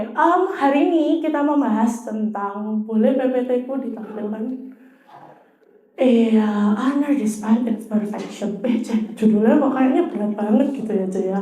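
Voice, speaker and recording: Young woman, mid-twenties, high voice, casual church speaker, playful and a bit nervous. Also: warm tone, breathy edge. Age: 20s. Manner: chatty, self-deprecating, nervous. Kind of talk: casual youth sermon with audience interaction. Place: church hall, live audience.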